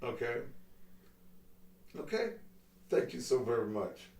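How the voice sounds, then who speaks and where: A man's voice: medium pitch, sing-song, slow pace, a little mellow, reverberant, distant mic. A middle-aged man hosting a call-in talk show, taunting and mocking a caller in crude language. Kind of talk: heated call-in radio argument.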